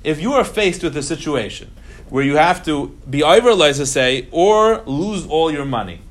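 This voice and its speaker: Higher-voiced man teaching a religious class. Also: lively intonation, normal speed. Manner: emphatic, explanatory, repeats key phrases; mixes Hebrew and Yiddish terms into English. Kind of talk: informal lecture to students.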